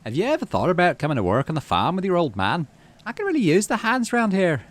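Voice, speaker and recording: A man's voice: sits high, huge pitch swings, quick pace, voice pushed up. A man voicing a cheerful, folksy farmer dad in a visual novel, chatting and explaining things to his child. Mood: friendly. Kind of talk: visual novel character read aloud.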